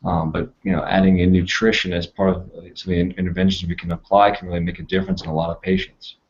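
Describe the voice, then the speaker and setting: A man's voice: deep voice, flat delivery, fast talker, vocal fry, husky. A man speaking as a guest on an online panel, earnest and full of fillers. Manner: earnest, with frequent "you know" and fillers. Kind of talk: casual panel discussion. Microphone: video call. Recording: slightly muffled video-call audio.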